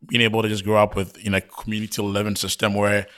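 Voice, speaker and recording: A man's voice: fairly low pitch, completely flat delivery, fast talker, a bit bright, smooth. A man with a Ghanaian accent, talking casually and personally as a guest on a podcast. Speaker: podcast guest, oil and gas professional. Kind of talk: casual chat, personal reminiscence.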